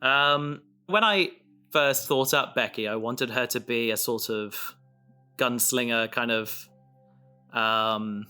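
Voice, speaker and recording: A man with a forceful, bright voice talks casually about his creative work on a podcast. He thinks aloud as he goes, halting and restarting his thoughts. His pitch sits in a middling range, with music under the talk. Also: smooth. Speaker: writer and maker of an audio drama, co-host. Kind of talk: casual behind-the-scenes podcast chat.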